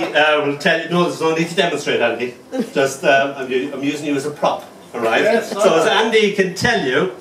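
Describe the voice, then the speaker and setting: A man from Ireland reading his poems to a live audience in a small cafe, with joking asides between slow, tender recitation. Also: fairly high pitch. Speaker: poet reading at an open mic. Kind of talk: poetry reading with banter. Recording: roomy, distant, faint noise.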